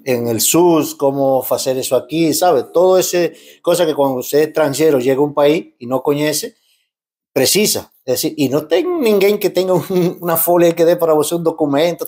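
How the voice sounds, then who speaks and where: A man's voice: fairly high pitch, dark tone. A man in his fifties from Cuba with a Cuban accent, telling his story as an interview guest in a blend of Spanish and Portuguese with many restarts and tag questions. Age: 50s. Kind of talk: casual interview talk.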